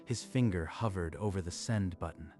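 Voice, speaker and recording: A man narrating a scripted story in a measured, even manner. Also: sits low, faint music behind.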